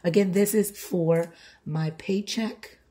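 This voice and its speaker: A middle-aged woman with a groggy, hoarse voice from being sick, chatting casually as she hosts her home budgeting vlog. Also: lowish voice, fairly even intonation, slow pace, warm tone. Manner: chatty, repeats "all right" and "okay". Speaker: budgeting channel host. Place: home, table-top filming.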